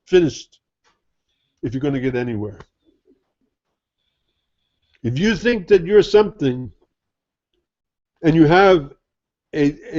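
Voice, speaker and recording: A man's voice: on the high side, a little mellow. A man giving an informal sermon as a Sufi teacher, talking conversationally and asking rhetorical questions. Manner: conversational, rhetorical questions, mimics others' attitudes. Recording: dull lo-fi recording.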